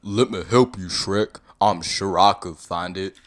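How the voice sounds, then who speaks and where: Man acting a coy, loudly gushing young lover. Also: low voice, measured pace. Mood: earnest, concerned. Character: shy, adoring young lover of an ogre. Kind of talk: comedic fan fiction read aloud.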